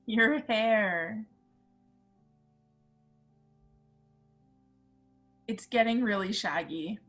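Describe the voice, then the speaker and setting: A woman's voice: medium pitch, takes it slowly, a bit bright, faint music behind. A woman playing a spunky, loyal young woman in a film table read, with a supportive manner. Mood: curious.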